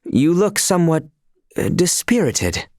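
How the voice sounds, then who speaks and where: A man's voice: fairly high pitch, very animated, a little slow, darkish tone, no room sound, clean studio sound. A man voicing a dutiful young knight escort in a video game, speaking formally in courtly, old-fashioned phrasing. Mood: earnest. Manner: formal, courtly and archaic speech. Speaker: voice actor. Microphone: close studio mic.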